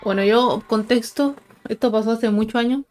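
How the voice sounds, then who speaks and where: A woman's voice: a little high, normal speed, over music. A young adult woman telling personal stories to her viewers in a casual, conversational, explanatory way. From Chile. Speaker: paranormal storytelling video host.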